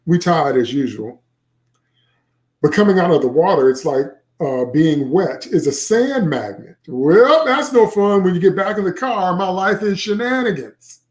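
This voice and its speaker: A man's voice: sits high, darkish tone, roomy sound, voice pushed up. A man voicing a smart-mouthed, geeky sixth-grade boy from a children's novel, chatting wryly through his rambling thoughts. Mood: chatty, carefree. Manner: chatty, wry, rambling inner monologue. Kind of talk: first-person children's novel read aloud.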